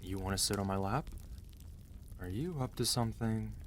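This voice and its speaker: A man acting out a weary, protective mafia boss husband in an ASMR roleplay, commanding and dry yet gentle and intimate. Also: lowish voice, normal speed, noisy background, natural voice. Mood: gentle, teasing. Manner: tired, authoritative, dry, intimate.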